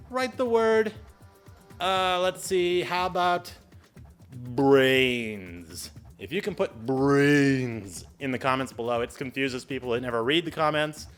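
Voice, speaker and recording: Male video host, slightly high forceful voice, casual explainer style, exclamatory, playful exaggeration. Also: a little slow, faint music behind. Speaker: video host giving public speaking tips. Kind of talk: casual, chatty explainer with acted-out examples.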